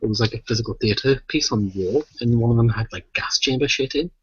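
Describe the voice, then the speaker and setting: A man's voice: mid-range voice, fast, slightly rough, dry recording, muffled recording. A British man co-hosting a casual podcast, chatty and rambling, swearing freely. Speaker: podcast co-host.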